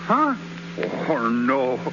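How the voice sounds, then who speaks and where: An actor playing a bashful, stammering young ballplayer with folksy slang, in 1930s radio comedy style. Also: male voice, mid-range voice, huge pitch swings, extremely slow, full character voice. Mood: sheepish, evasive. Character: bashful young male ballplayer, alibi-making, sheepish and stammering. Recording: noisy muffled 1930s radio recording.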